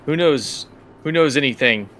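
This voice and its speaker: A man's voice: medium pitch, expressive, moderate pace, a bit bright, a little hiss or hum, voice pushed up, natural voice. A man voicing a menacing, suspicious, drunk gangster in a tabletop game.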